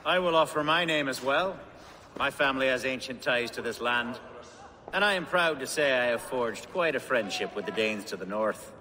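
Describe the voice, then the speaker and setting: An actor playing a genial, ingratiating wealthy Saxon lord in a video game, with an effusive, flowery manner. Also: male voice, medium pitch, moderate pace, pushed and bright, lightly acted. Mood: courteous, proud. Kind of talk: video game drama acting.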